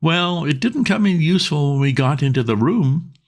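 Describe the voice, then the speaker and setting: A man voicing an excitable young gentleman who talks in an agitated, exclamatory way. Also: on the high side, moderate pace, voice pushed up. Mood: aggrieved.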